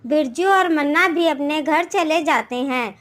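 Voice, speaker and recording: ऊँची आवाज़ वाली महिला कथावाचक. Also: female voice, high voice, measured pace, fairly bright tone. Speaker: storyteller. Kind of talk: scripted moral-story narration. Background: background music.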